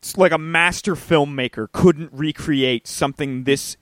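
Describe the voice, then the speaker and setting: A man's voice: fairly high pitch, measured pace, fairly bright tone, no room sound. A man co-hosting a movie podcast who talks with emphasis and repeats his points. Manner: emphatic, repeats himself for effect. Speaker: podcast co-host. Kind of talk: casual movie review chat.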